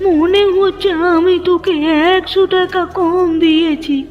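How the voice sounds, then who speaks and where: Old village customer, indignant, complaining, extremely high voice, cartoon, muffled audio. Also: female voice, fairly even intonation, measured pace. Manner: scolding, complaining. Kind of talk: cartoon dialogue acting. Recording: muffled narrow-band audio with music.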